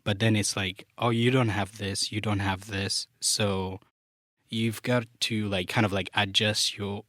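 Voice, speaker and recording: A man's voice: fairly low pitch, unhurried, no echo, studio quality. A young man, a Luganda speaker, chatting casually as a podcast guest and using many fillers. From Uganda. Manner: relaxed, many fillers like "like" and "you know". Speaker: guest, software developer.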